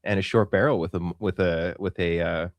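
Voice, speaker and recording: A man's voice: deep voice, fairly even intonation, a little fast, dry recording. A Canadian man co-hosting a podcast in casual, emphatic, colloquial chat. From Saskatchewan, Canada. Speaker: podcast co-host.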